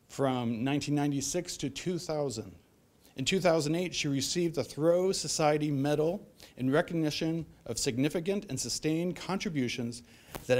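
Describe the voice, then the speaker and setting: A man's voice: fairly high pitch, a little mellow. A middle-aged American man reading a prepared introduction as host of a public talk. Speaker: event host introducing a speaker. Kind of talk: prepared introduction speech, read aloud. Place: library event room, at a lectern.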